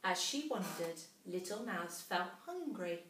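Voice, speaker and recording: A woman's voice: lowish voice, expressive, unhurried, a bit bright, slight background noise. A woman, a teacher reading a storybook expressively to young children. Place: small classroom, indoors. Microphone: camera a few metres away. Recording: roomy classroom, distant camera mic.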